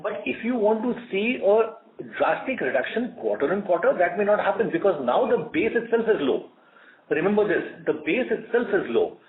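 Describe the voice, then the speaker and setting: Higher-pitched Indian man on muffled conference call. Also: sing-song, normal speed. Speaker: company executive answering analysts. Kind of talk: earnings call answers. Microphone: conference call line.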